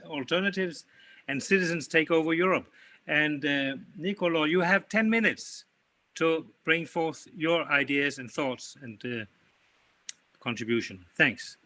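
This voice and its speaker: A man's voice: fairly high pitch, bright, forceful tone. A man moderating a conference, introducing the next speaker with a hesitant, stumbling delivery.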